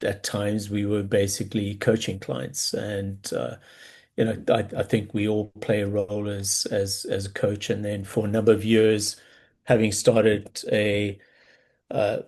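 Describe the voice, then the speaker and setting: Low flat South African man. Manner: thoughtful, halting, many repeated words. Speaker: business coach guest. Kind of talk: reflective interview answers.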